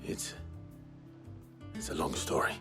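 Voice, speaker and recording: An actor playing an earnest, reserved, battle-worn young hero in a video game drama. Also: male voice, slow, music in the background, natural voice. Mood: quietly pleased. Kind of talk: dramatic game cutscene acting.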